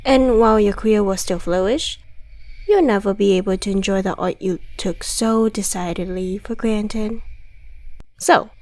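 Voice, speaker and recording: A woman's voice: mid-range voice, measured pace, a little mellow, natural voice. A woman playing an ancient devil in a scripted ASMR roleplay, theatrical, articulate and condescending, mockingly friendly with menace underneath. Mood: cold, gloating. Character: suave, gloating devil, centuries old, mockingly friendly, menacing.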